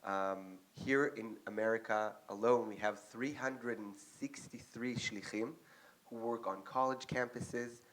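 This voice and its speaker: A young adult man from Israel with an Israeli accent, giving an earnest speech to an audience as an agency representative, with frequent ums and pauses. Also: on the low side, steady tone, fairly bright tone, low-quality recording.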